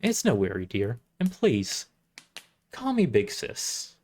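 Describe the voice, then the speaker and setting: A man voicing a gentle, kind, big-sisterly woman healer with a caring manner in an audio drama. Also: mid-range voice, huge pitch swings, takes it slowly, heavily acted. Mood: gentle concern. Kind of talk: amateur audio drama character reading.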